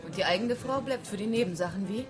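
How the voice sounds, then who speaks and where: A woman's voice: mid-range voice, fast, over music, natural voice. An actress playing a flirtatious, bored wife who cheats on her dull husband, teasing and coquettish in a radio crime drama.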